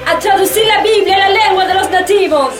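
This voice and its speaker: A woman's voice: very high voice, a little fast, music in the background. A woman playing a fervent, preachy, hypocritical missionary in a radio drama, exclaiming in a sing-song way.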